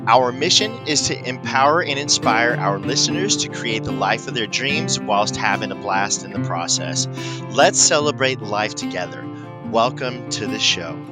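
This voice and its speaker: A man's voice: mid-range voice, lively intonation, moderate pace, loud music behind the voice. A man hosting a podcast, reading an upbeat intro and then chatting effusively with his guest, full of "you know"s. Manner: enthusiastic, effusive, rambling with many "you know"s. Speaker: podcast host. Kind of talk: scripted intro, then casual interview chat.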